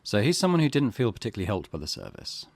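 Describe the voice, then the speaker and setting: A man's voice: fairly low pitch, wildly swooping pitch, rapid-fire, creaky, no echo. A man giving casual let's play commentary as a gaming host, musing tentatively in a conversational way.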